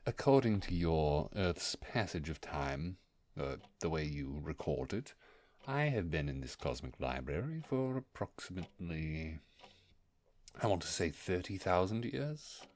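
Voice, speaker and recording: Man as a very deep-voiced ancient creature scholar. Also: sing-song, measured pace, a little mellow. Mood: flustered, musing. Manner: pompous wordy diction with fumbling hesitations. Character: ancient scholarly furry creature, bookish, awkward, eager to impress. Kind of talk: immersive roleplay audio monologue.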